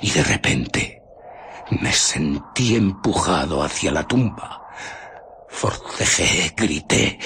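Low-voiced, highly expressive invisible fugitive. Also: male voice, slight background noise, full character voice. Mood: haunted. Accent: Castilian Spanish. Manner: theatrical, swinging from hushed murmurs to anguished outcries. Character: invisible man, scientist, agitated fugitive recounting his ordeal. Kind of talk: dramatic radio theatre narration.

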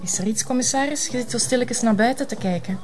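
Woman acting chatty flemish barmaid, higher voice, attentive n familiar, music going in the background. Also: fast, lightly acted. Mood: friendly, curious. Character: friendly, observant barmaid serving a regular customer. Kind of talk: naturalistic TV drama acting.

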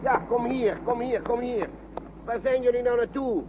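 A man's voice: extremely high pitch, normal speed, dull audio. An actor in a radio play voicing a kindly, anxious man shepherding children, fussy and reassuring, often repeating himself. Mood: alarmed. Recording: noisy old radio play recording.

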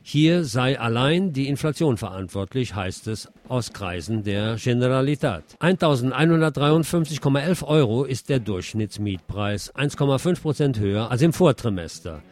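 A man's voice: mid-range voice, expressive, moderate pace, few pauses, a little mellow. A man presenting radio news from a script, reading reports and adding wry asides.

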